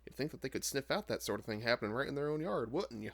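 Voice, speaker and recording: A man playing a gossipy townsman trading rumours in an audio drama, hushed and conversational. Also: medium pitch, animated, fast, gravelly. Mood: gossiping.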